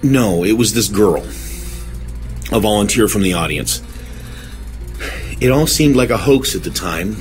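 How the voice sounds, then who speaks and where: An actor voices a troubled husband telling a strange tale in a horror radio play. His voice is fairly low and very expressive, with earnest emphasis. Faint music plays behind him. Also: unhurried, pauses often. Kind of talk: dramatized horror audio drama narration.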